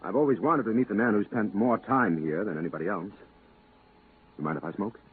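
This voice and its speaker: An actor playing a polite, persuasive visiting captain on official business, coaxing and a little hesitant, in 1950s radio drama style. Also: male voice, lowish voice, fairly quick, close to an everyday voice. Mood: affable, probing. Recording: tinny, band-limited, hissy 1950s radio recording.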